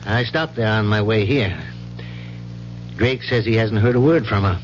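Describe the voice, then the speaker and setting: An actor playing a proper, measured university professor in a 1970s radio mystery, speaking precisely and with care. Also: male voice, fairly low pitch, lightly acted. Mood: matter-of-fact. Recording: muffled, noisy 1970s radio recording with hum.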